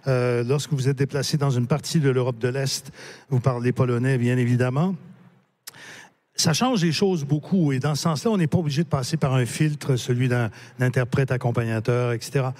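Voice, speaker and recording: A man moderating a book-fair panel, asking measured, thoughtful questions. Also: medium pitch, darkish tone. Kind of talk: panel discussion.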